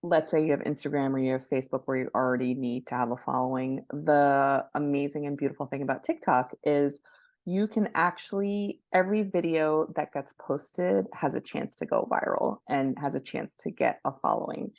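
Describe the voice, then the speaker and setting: Female interview guest expert, deep-pitched, very dry sound, conversational and halting. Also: gravelly, darkish tone, no room sound. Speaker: guest expert, book marketing professional.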